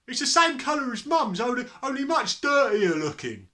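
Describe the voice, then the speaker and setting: A woman voicing a loud, tactless British boy who blurts out exclamations in a storybook. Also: high-pitched, big pitch swings, normal speed, pitched above the performer's own voice. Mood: accusing. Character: loud, tactless schoolboy son.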